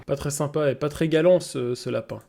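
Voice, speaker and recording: A man's voice: fairly high pitch, a little fast, gravelly, noisy background. A man giving casual, crude commentary as a streamer reacting to a cartoon. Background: cartoon soundtrack playing loudly.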